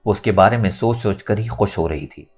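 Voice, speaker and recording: A man narrating a scripted novel in a dramatic, expressive storytelling manner. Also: fairly low pitch, brisk, breathy edge, faint music behind. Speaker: audiobook narrator.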